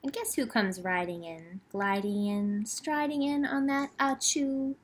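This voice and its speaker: A woman hosting a children's library storytime, speaking in simple, expressive phrases and addressing young listeners directly as she reads and chats. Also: medium pitch, measured pace.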